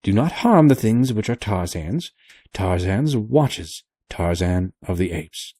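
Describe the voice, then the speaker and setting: A man's voice: deep voice, expressive, slow, mellow, breathy edge, dry recording, clean studio sound, heavily acted. A man voicing an absent-minded, fussy elderly male professor who mutters kindly to himself.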